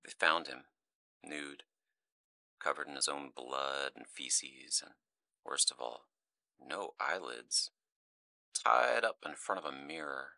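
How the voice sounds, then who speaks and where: An actor playing a menacing, volatile kidnapper in an audio drama, mock-polite and theatrical, shifting between hushed and explosive delivery. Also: male voice, rumbling low, slow, gravelly, long pauses, no room sound, studio quality, heavily acted. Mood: hushed, sinister. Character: menacing, volatile male kidnapper calling a radio show.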